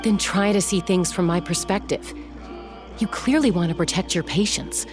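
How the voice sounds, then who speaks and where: A woman playing a composed, confident, teasing older sister in a video game, calm and lightly wry. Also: lowish voice, normal speed, music in the background, close to an everyday voice. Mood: calm, firm.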